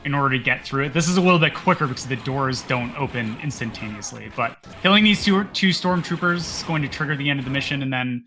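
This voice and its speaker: A man's voice: medium pitch, expressive, quick pace, hardly any pauses, sharp tone. A man giving upbeat, casual co-commentary that explains a video game speedrun. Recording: game music behind the voice.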